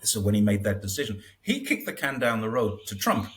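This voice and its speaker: Animated man talking politics. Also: lowish voice, a little fast. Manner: animated, wry, acts out quotes with laughs. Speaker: interview guest. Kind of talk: casual conversational commentary.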